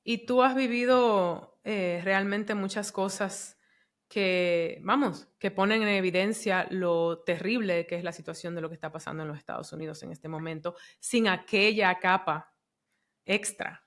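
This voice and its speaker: Reflective podcast host, raised in Dominican Republic. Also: female voice, fairly low pitch, measured pace. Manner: reflective, with soft backchannels. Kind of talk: casual interview conversation.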